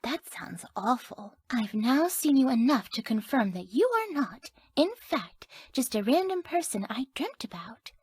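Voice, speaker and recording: A dreamy, easily confused young woman from a video game. Her voice is high and soft, drifting slowly along with wide-eyed wonder and airy, gentle phrasing. The melody swings widely. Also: sing-song, no echo, full character voice. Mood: shy, curious. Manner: soft, airy, gentle, often dropping to breathy near-whispers. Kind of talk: video game character voice lines.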